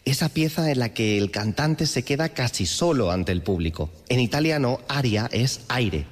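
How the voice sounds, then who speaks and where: A man's voice: medium pitch, lively intonation, moderate pace, long reverb tail. A man explaining language on the radio, using examples. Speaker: language commentator.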